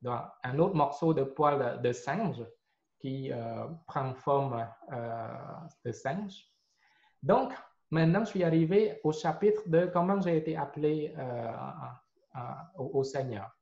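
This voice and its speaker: A man's voice: medium pitch, takes it slowly, creaky, a little mellow. A man in his forties from Cambodia, a Khmer speaker, telling his faith testimony hesitantly with many fillers and repeated words. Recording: roomy, dull lo-fi sound.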